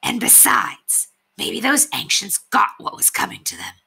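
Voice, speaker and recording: Brief: bearded bandit leader, male, storybook, gruff and impatient, grumbling orders with forceful edge, acted by a woman. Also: medium pitch, wildly swooping pitch, a little slow, voice pushed up, exaggerated voice. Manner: grumbling, commanding.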